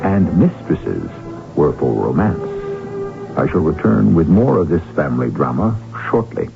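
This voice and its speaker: A man's voice: rumbling low, sing-song, unhurried, creaky. A man with an American accent hosting a radio drama with dramatic, emphatic scripted narration. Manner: theatrical, emphatic. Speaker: host. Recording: muffled old radio broadcast with music.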